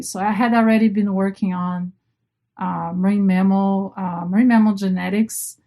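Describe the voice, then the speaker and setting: Brazilian woman, native portuguese speaker, middle aged, casual podcast guest, medium pitch, rewords herself a lot. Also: darkish tone. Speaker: interview guest, marine biologist. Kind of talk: casual personal storytelling.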